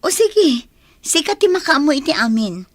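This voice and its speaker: Decisive, moneyed Ilocano woman, female actor, radio drama; high pitch, businesslike, very dry recording. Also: very animated, normal speed, no echo. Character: decisive, well-off woman lending money from afar.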